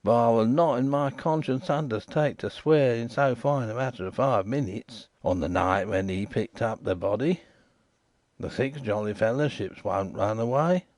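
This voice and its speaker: A man's voice: mid-range voice, moderate pace, a little mellow, no echo, close to an everyday voice. A man voicing a shifty, indignant riverside informer, insistent and repetitive.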